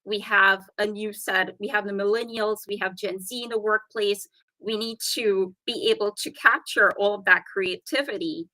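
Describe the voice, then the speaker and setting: A woman with a softened Trinidadian accent, a guest talking earnestly and explaining her ideas in a casual interview chat. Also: medium pitch, fairly even intonation, moderate pace, dry recording, rough recording.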